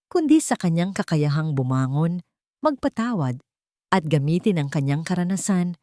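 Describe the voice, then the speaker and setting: A woman's voice: low-pitched, a little mellow, no room sound, studio quality. A woman narrating a scripted sentimental story in an earnest, dramatic storytelling manner.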